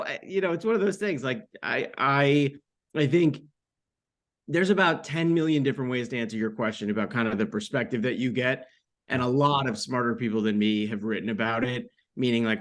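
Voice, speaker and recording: A man's voice: medium pitch, a bit bright. Informal, rambling man in his thirties. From United States, born in France. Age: 30s. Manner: rambling, informal, frequent "like" asides. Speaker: podcast guest, author. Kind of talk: casual interview chat.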